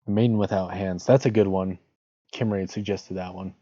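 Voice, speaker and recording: Low, mellow male storyteller. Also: steady tone, fairly quick. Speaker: streamer reading fiction. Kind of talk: storybook narration and casual stream chat. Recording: dull lo-fi audio, roomy echo.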